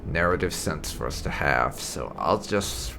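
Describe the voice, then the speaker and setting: A man's voice: rumbling low, expressive, unhurried, vocal fry. A man giving casual, rambling roleplay commentary on a video game, explaining his choices in asides. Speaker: gaming video host. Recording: game music behind the voice. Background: video game music.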